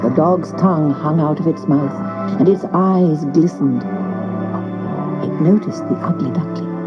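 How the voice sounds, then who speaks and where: A woman narrating a scripted children's story in an expressive storyteller's style. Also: sits low, measured pace, muffled recording, over loud music.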